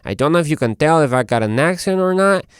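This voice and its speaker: A man's voice: on the high side, expressive, fast, clear, resonant tone, dry recording, clean studio sound. A man from Mexico, hosting a show in a casual, conversational monologue and often interrupting himself. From Guadalajara, Mexico. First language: Spanish. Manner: informal, conversational, self-interrupting. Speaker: show host.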